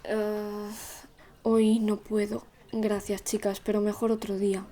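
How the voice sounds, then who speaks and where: A woman's voice: mid-range voice, little pitch movement, slow, warm tone, roomy sound, a little hiss or hum, natural voice. A woman playing a lonely, fearful teenage girl in an audio drama, with an introspective, confiding manner. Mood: withdrawn, guarded. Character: lonely, fearful teenage girl narrating her secret visions. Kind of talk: first-person audio drama narration and dialogue.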